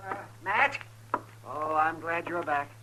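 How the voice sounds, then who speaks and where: An actor playing a fussy, agitated, insistent town doctor in an old radio western. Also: male voice, a little high, takes it slowly. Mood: agitated, urgent. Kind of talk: 1950s radio western acting. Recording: old radio broadcast, faint hiss and clicks.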